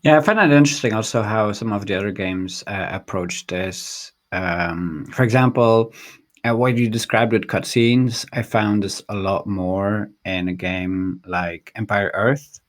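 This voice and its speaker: A man hosting an interview in a casual, conversational way, haltingly thinking aloud. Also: on the low side. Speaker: podcast host, interviewer.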